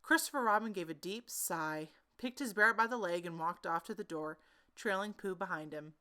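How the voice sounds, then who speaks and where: A woman narrating a children's story in an expressive, animated storytelling manner. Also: on the low side, moderate pace.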